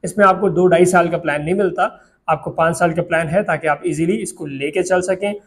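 A young man with a Pakistani Urdu accent presenting property prices to camera in a steady, explanatory sales pitch, recorded indoors in an office on a lapel mic. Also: a little fast. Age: young adult. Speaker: real estate agent.

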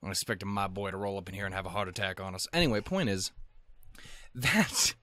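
A man from Montana giving rambling, profane, jokey reaction commentary on an anime episode, with sudden goofy pitch jumps. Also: expressive, brisk, pushed and bright.

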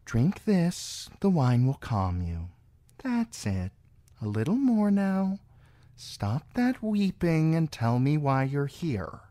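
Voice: mid-range voice, big pitch swings, takes it slowly, warm tone, dry recording, pitched above the performer's own voice. A narrator voicing a proud, impatient, scheming queen in an audiobook, with an imperious, cutting and scornful manner. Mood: commanding.

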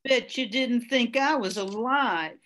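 An older woman playing a tough, bitter, blackmailing motel clerk with sardonic, drawn-out delivery in a radio crime drama. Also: fairly high pitch, a bit bright. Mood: hard, vengeful. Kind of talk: 1940s radio drama acting. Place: home room, video call. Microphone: computer mic on a video call.

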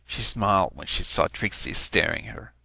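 A man's voice: deep voice, sing-song, normal speed, vocal fry, noisy background. A man narrating a written story, reading it aloud as scripted prose.

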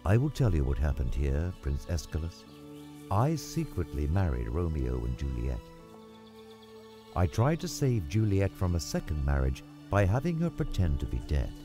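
A man's voice: a bass voice, huge pitch swings, moderate pace, music in the background, voice pushed down, heavily acted. A man voicing a solemn, remorseful elderly friar, grave and confessional, in a dramatized classic. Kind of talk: dramatized illustrated-classic audio play.

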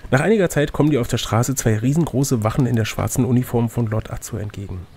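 A man's voice: medium pitch, fast talker, warm tone. Male game master, mid-range voice, reads fantasy adventure narration aloud and slips into playful banter. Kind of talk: reading a fantasy gamebook aloud with casual banter.

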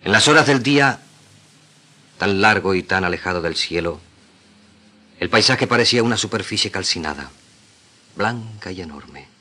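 A man's voice: on the low side, expressive, moderate pace, pauses often, sharp tone, slightly rough. An Argentine man, a radio actor, giving a solemn, declamatory reading of literary prose.

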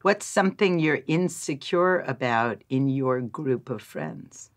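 A woman in her sixties asking and answering questions in a reflective, unhurried interview chat. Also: a bass voice, lively intonation. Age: 60s. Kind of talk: conversational interview. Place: dark studio.

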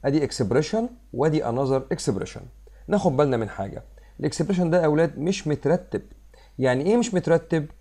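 A male math teacher with an Egyptian Arabic accent, slightly hoarse from a cold, working through a problem step by step. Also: a little high, expressive, fast talker, dark tone. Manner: explains step by step, mixing English math terms into Arabic. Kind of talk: screen-recorded math lesson. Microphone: voice-over on a screen recording.

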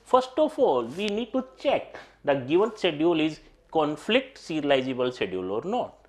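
A middle-aged man lectures at a whiteboard, his pitch rising and falling widely as he stresses each point. He keeps repeating key ideas and turns often to address his students directly. He speaks through a clip-on mic in a small studio classroom. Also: big pitch swings, moderate pace, a little mellow. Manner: repetitive, emphatic teaching, often addressing "my dear students". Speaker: teacher. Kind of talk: exam-prep lecture at a whiteboard.

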